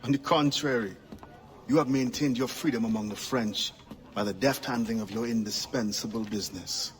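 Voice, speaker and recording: An actor playing a proud, guarded assassin who was once enslaved, speaking in a grave, formal, wary way in a video game cutscene. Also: male voice, mid-range voice, moderate pace, close to an everyday voice. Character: proud, guarded freed-slave assassin, adult man.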